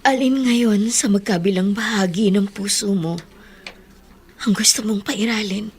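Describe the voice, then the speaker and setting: A woman's voice: medium pitch, measured pace, darkish tone, a little hiss or hum, lightly acted. An actress playing a remorseful, tender mother pleading with her grown daughter, in emotional Filipino radio drama with a Filipino accent. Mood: hesitant. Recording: dramatic music under the voice.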